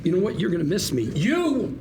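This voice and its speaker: High, animated hustler lawyer. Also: male voice, a little fast. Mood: indignant ranting. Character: ranting, wheedling, fast-talking hustler lawyer. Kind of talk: script table read. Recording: faint room noise.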